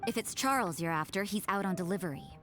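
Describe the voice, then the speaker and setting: A woman voicing an aloof, sardonic church sister in a video game dub, cool and flat. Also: mid-range voice, quick pace, music in the background, lightly acted.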